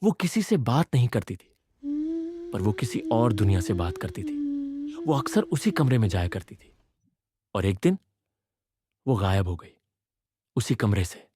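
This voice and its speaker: A man voicing a subdued, fearful caretaker in a horror story, speaking gravely and respectfully. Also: lowish voice, wildly swooping pitch, unhurried, long pauses, noisy background. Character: fearful, subdued male caretaker servant with grim secret.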